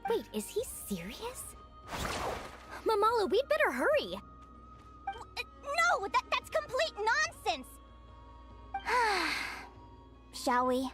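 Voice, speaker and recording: Tiny childlike fairy sidekick, piercingly high, animated, bubbly, dramatic, cheeky, video game acting, music behind. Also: female voice, slow pace, long pauses, full character voice. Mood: excited. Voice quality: squeaky, childlike. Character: tiny floating fairy companion, girlish, cheeky, excitable, easily offended.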